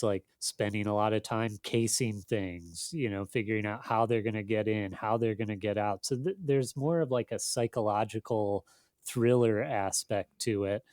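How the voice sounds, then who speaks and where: A man speaking casually as an editor being interviewed, in a thoughtful, halting, self-deprecating way. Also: on the low side, darkish tone, no echo, studio quality. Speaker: magazine editor, interview guest.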